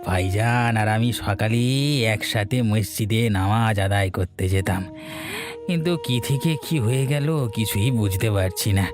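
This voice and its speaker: Slow, low-voiced, sly brother-in-law, acted by a man. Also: lightly acted. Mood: feigned sorrow. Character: sly younger brother-in-law, falsely consoling, secretly gloating. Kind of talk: cartoon voice acting. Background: music.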